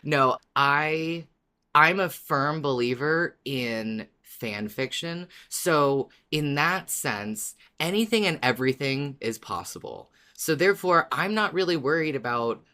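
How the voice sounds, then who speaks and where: A man's voice: on the high side, animated, takes it slowly, bright, forceful tone, smooth. Casual, self-deprecating male podcast co-host. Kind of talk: casual chat.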